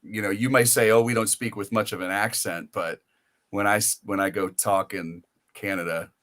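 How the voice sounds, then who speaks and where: Man, American, podcast host, bright and forceful tone, rambling storyteller. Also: fairly low pitch, steady tone, normal speed. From United States. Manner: rambling, storytelling with many restarts. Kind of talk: casual interview chat.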